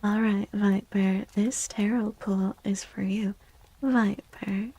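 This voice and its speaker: A woman streaming soft-spoken ASMR, gently chatting in a breathy, often whispery voice and softly repeating phrases in a soothing way. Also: mid-range voice, fairly even intonation, slow pace, no echo.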